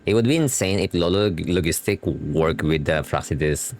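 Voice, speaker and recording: A man's voice: low voice, expressive, moderate pace, darkish tone. A man doing a casual live game reaction, chatting in slangy asides and reading on-screen text aloud over game music. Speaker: streamer reacting to a game story.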